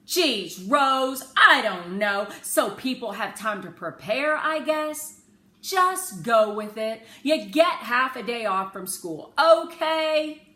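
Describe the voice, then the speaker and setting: Woman doing a forceful, exasperated, short-tempered dad. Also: on the high side, big pitch swings, slow pace, pitched above the performer's own voice, exaggerated voice. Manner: curt, weary, exasperated. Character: short-tempered, exasperated father of a young girl. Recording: roomy echo, faint background noise.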